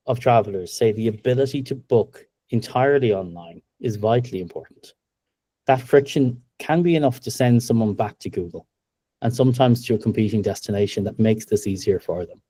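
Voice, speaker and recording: A middle-aged Irish man giving a business webinar presentation over a video call, upbeat and steady, with frequent ums. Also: medium pitch, moderate pace, no room sound. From Ireland. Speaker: webinar presenter, company co-founder.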